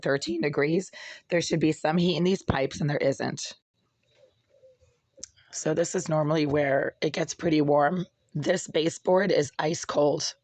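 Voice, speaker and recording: A vlogger with a hoarse, raspy voice that cracks and breaks, ranting in an aggrieved way from a cold apartment. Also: medium pitch, no room sound. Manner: aggrieved, ranting, insults critics. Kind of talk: casual complaint vlog.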